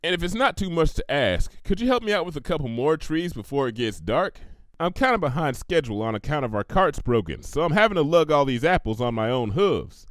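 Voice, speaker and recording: A man's voice: fairly high pitch, very animated, fairly quick, dry recording, pitched above the performer's own voice. A man voicing a proud, stubborn female country pony in a cartoon story, with a folksy Southern US drawl. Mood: sincere.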